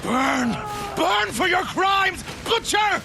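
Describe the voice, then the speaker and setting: An actor playing a furious, vengeful executioner in a video game, screaming condemnation in a gravelly, strained voice. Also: male voice, very high voice, sing-song, slow pace, heavily acted. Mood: enraged. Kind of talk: dramatic video game cutscene acting. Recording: noisy ambience behind the shouting.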